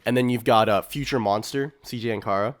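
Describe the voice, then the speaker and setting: A man's voice: lowish voice, brisk. A man co-hosting a podcast, explaining his opinions informally in a casual discussion. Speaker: podcast co-host.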